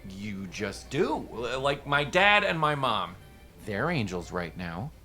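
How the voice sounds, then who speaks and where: A woman's voice: very deep voice, sing-song, slow, pushed and bright, faint music behind, exaggerated voice. A woman acting as a nervous parent in a naturalistic drama, hesitant and prone to stammering. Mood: awkward, gentle. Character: nervous, halting parent, a struggling musician called Dad.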